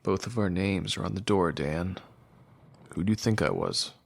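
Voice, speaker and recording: A man acting a brooding, guarded doctor in an audio drama, with a dry, terse, deadpan delivery. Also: low voice, moderate pace, gravelly, exaggerated voice. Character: brooding male doctor, guarded, dryly cutting.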